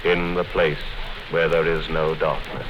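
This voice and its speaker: An actor playing a calm, commanding party official in a measured, deliberate 1950s radio drama style. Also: male voice, very deep voice. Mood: grave, solemn. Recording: noisy, dull 1950s radio recording.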